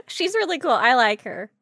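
A woman hosting a casual, comedic podcast about a video game, chatting in an excitable, playful, giggly way. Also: extremely high pitch, measured pace, creaky, no echo, clean studio sound. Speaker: podcast host.

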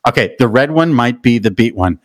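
A man hosting a podcast in casual chat. He talks in a loud, profane and playful way, with sudden high whoops. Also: expressive, brisk. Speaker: podcast host.

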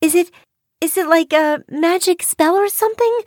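A woman voicing a curious, excitable young girl who exclaims and asks eager questions in a children's story. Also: very high-pitched, normal speed, no echo, studio quality, voice pushed up. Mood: amazed, curious.

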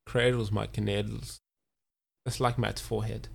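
A man hosting a podcast, recapping a TV episode in relaxed, jokey chat with many fillers. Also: fairly low pitch, measured pace, creaky, slightly rough. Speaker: podcast host.

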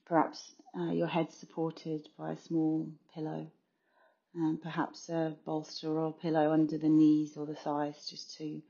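Woman, very mellow and creaky, soothing meditation guide, pausing between phrases, slightly muffled recording. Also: sits low, monotone. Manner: soothing, unhurried pauses between short phrases. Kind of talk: guided yoga nidra meditation.

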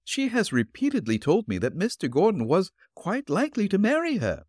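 A man's voice: wildly swooping pitch, brisk, darkish tone, dry recording, studio quality, heavily acted. A man doing a polite elderly landlady. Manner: polite, flustered, high put-on voice. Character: elderly refined gentle landlady, woman. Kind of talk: audiobook character reading.

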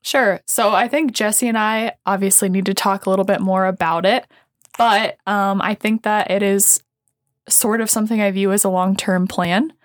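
A woman's voice: medium pitch, fairly even intonation, moderate pace, dry recording, studio quality. A young woman from South Dakota, a summer intern, speaking thoughtfully in a casual interview with frequent ums. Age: young adult. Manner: thoughtful, many ums and uhs.

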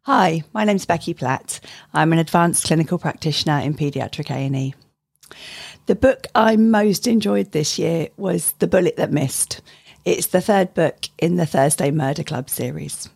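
A woman's voice: deep voice, moderate pace, vocal fry, darkish tone, slightly rough, dry recording, studio quality. A woman giving a prepared book review as a podcast guest, speaking in a reflective, personal way. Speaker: advanced clinical practitioner, podcast guest.

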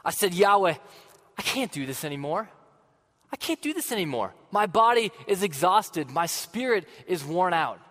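A man playing a weary, passionate older prophet in a dramatic monologue to a congregation, earnest and swinging from fervent outbursts to hushed sadness. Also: medium pitch, normal speed, long pauses, lightly acted. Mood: despairing. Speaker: dramatic first-person storyteller. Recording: long hall reverb.

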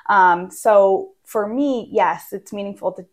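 A woman's voice: medium pitch, slow pace. A young woman from Maine, a real estate agent answering interview questions in a casual, chatty way with lots of fillers.

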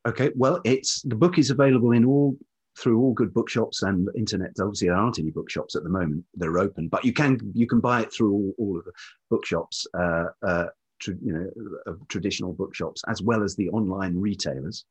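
A man speaking as an interview guest in a reflective, conversational way, thoughtful and halting as he searches for words. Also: on the low side, darkish tone, husky. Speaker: interview guest, writer and musician.

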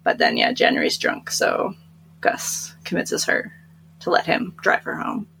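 A woman's voice: rumbling low, wildly swooping pitch, unhurried, creaky, a bit bright, slight background noise, poor audio. A giggly, chatty woman co-hosting a book podcast and casually recapping stories.

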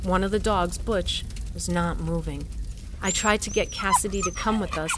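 A woman's voice: lowish voice, normal speed, background noise, natural voice. A woman playing a scientist and mother who narrates her own story in a scripted audio drama. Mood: grave narrating. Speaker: narrator and lead character.

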